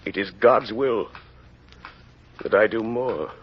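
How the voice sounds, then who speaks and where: Casting: tormented mayor with a guilty secret, slow theatrical delivery, old muffled radio drama sound. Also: male voice, fairly low pitch. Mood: uneasy, self-justifying. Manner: halting, brooding, theatrical soliloquy. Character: anguished mayor hiding his convict past, wrestling his conscience. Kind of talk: 1930s-40s radio drama acting. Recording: muffled vintage radio recording, faint music.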